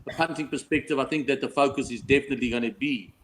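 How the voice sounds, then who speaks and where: A man's voice: mid-range voice, very fast, fairly bright tone, a little hiss or hum. A South African man chatting casually as a betting guest, bantering and often ending on tag questions. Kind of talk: casual chat.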